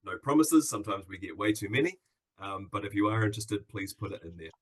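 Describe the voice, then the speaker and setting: A man's voice: on the low side, fast talker, breathy edge. A man with a New Zealand accent hosting a live video-call Q&A in an upbeat, chatty way, with plenty of fillers. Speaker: webinar host.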